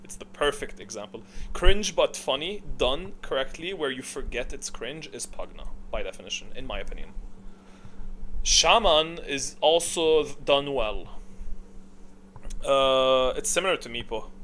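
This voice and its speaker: A man delivers casual, opinionated commentary as a video creator, thinking aloud as he goes. His pitch moves around a lot, from low asides to lively peaks. There is some steady noise behind the voice. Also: a little slow.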